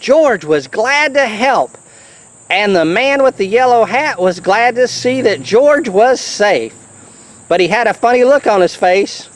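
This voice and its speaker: Animated, unhurried children's storybook reader. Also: mid-range voice. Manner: animated, exclaiming, chatty asides to the listener. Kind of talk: children's book reading with playful asides.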